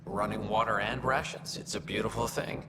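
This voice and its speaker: An actor playing a guarded, earnest alien soldier in a video game, with a gravelly voice and reserved manner. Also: male voice, lowish voice, fairly even intonation, normal speed, big-room sound, very noisy. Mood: relaxed, appreciative.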